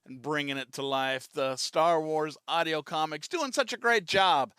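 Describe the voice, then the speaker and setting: Higher-voiced, enthusiastic man reacting. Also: a little slow. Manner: enthusiastic, repetitive. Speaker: reaction host. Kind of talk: casual fan reaction commentary. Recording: faint music behind the voice.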